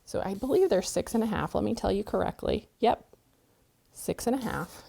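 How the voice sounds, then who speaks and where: A woman leads a relaxed sewing lesson at a mid-range pitch. She chats through each step as she works, and she sounds slightly stuffy from a cold. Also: normal speed, creaky. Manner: chatty, walks through steps while working. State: has a cold, sniffling. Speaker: quilting show host. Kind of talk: friendly sewing tutorial. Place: sewing studio indoors.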